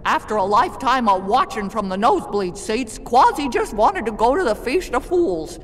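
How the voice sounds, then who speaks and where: A bossy but fond stone gargoyle in a video game. The voice is high and lively, with emphatic, wry delivery. It echoes in a long reverb. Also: male voice, moderate pace, a little hiss or hum. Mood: fond concern.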